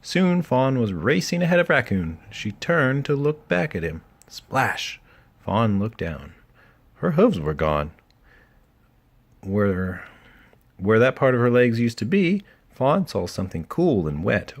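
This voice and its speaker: A man reading a children's story aloud in an animated storytelling style. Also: mid-range voice, slow. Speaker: children's book reader.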